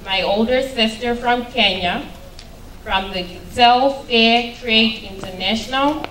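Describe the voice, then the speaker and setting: A woman from Zimbabwe announcing award recipients at an event in a measured way, with fillers. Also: fairly high pitch. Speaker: awards presenter. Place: event hall. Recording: echoey hall, distant mic, loud noise.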